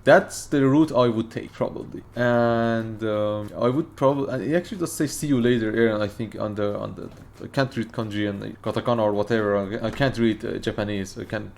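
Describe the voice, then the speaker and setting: Man, Farsi native speaker, casual reaction host, rambling and excitable, mid-pitched voice. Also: a little fast, creaky, hardly any pauses. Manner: rambling, excitable, many fillers and restarts.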